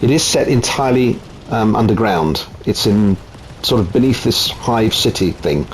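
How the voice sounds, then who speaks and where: A man's voice: on the low side, animated, normal speed, a little hiss or hum, low-quality recording. A man from Italy with an Italian accent, chatting casually at home through gameplay commentary with frequent ums. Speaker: game reviewer.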